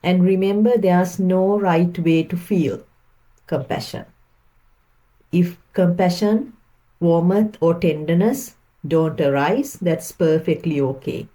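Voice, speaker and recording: A woman guides a meditation with a very mellow, relaxed tone. Her voice sits a little low, and she speaks very slowly. The delivery is gentle and calming, leaving space between phrases. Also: rough recording.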